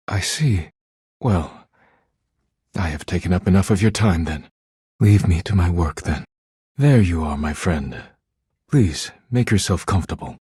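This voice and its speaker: Formal earnest gentleman, video game dialogue, deep low pitch, heavily breathy husk, measured diction, reserved. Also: no room sound, studio quality, full character voice. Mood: hurt, withdrawn. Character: formal, earnest, reserved man, once workaholic, now tender. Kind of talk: scripted game dialogue, formal romance scenes.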